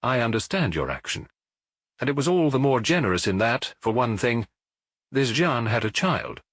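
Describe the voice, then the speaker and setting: A man's voice: mid-range voice, very animated, moderate pace, fairly bright tone, dry recording, clean studio sound, pitched above the performer's own voice. A man playing a formal police inspector who questions witnesses politely but insistently, in an audiobook. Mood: official, insistent.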